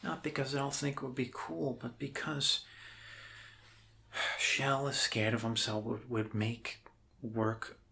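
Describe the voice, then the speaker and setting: A man doing soft, improvised ASMR roleplay as a bumbling, friendly doctor, rambling and apologetic in a scatterbrained way. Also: mid-range voice, fairly even intonation, takes it slowly, vocal fry, long pauses, a little hiss or hum. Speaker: ASMR performer playing a doctor.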